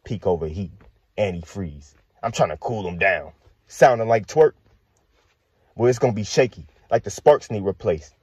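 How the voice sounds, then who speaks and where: A young man with an American accent and a husky, rough voice, delivering aggressive, boastful battle rap verses a cappella. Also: lowish voice, moderate pace, darkish tone, no room sound. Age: young adult. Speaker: battle rapper.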